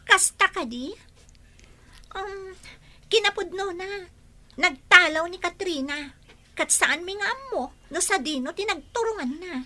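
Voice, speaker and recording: A piercingly bright, very high young woman's voice acted by a woman. She sounds coy and gossipy, a sly talker in a melodramatic audio drama. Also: lively intonation, unhurried, pauses often, full character voice. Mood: confiding.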